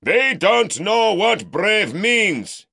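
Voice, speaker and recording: Guy doing scornful old uncle yelling at a mob, high, loud, slow. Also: creaky, no room sound, clean studio sound, full character voice. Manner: mocking, boastful shouting. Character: older man, scheming, loud and scornful.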